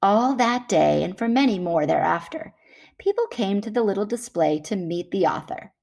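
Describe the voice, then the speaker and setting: A woman reading a children's picture book aloud in an animated storytelling manner. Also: medium pitch, normal speed, creaky.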